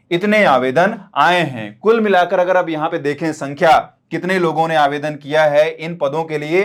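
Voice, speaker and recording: A man teaching as an exam coach, explaining step by step to students and often repeating key points with short check-in tags. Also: a little high.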